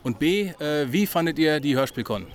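A man's voice: fairly high pitch, expressive, normal speed. A man doing casual on-site interviews as a podcast host. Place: outdoors at a busy convention. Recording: crowd chatter, busy event outdoors.

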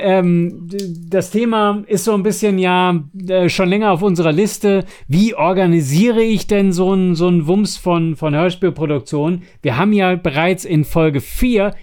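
Higher-voiced man, halting podcast talker. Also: a little mellow. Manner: halting, stammering over word starts. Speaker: podcast co-host. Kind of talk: casual podcast chat giving practical tips.

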